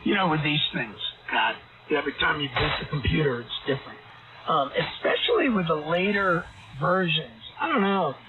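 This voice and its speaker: A raspy-voiced man chatting casually as a ham radio operator, rambling and hesitant with many fillers. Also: fairly high pitch, animated, moderate pace. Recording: tinny radio transmission with static.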